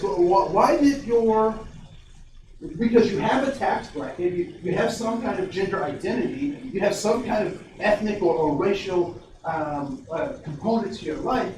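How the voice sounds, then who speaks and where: High-voiced guy running a workshop, lots of questions, sounds far off in a very echoey room. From Ohio, USA. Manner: energetic, questions the audience, frequent restarts. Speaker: diversity trainer. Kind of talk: interactive workshop talk. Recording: very echoey, distant, faint noise.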